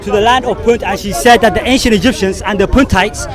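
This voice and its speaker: A man's voice: sits high, fairly even intonation, fast. A man born in Somalia, arguing insistently in a street debate, pressing with "okay?" and "yeah?". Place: outdoors on a city street. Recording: crowd noise outdoors.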